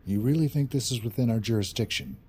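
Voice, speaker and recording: A man playing a gruff, husky government boss. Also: mid-range voice, quick pace, warm tone, natural voice. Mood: curt. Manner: curt, dismissive, swears. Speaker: senior federal official.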